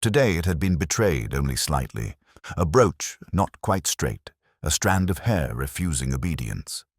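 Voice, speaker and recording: Guy reading a detective story, really low husky voice, measured, dry close sound. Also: sing-song, no room sound. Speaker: audiobook narrator. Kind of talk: scripted mystery story narration.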